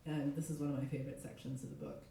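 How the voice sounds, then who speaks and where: Hoarse woman reading in a very echoey room. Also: a bass voice, very animated, racing, warm tone, slight background noise. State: hoarse from a cold. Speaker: literary translator giving a reading. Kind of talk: live literary reading aloud with short spoken introduction. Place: echoey indoor event space. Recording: very echoey room, distant mic.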